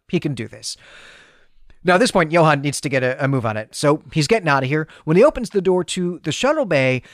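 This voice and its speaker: A man's voice: fairly high pitch, dry recording, clean studio sound. A man co-hosting a podcast, recapping a story conversationally with explanatory asides. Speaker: podcast co-host.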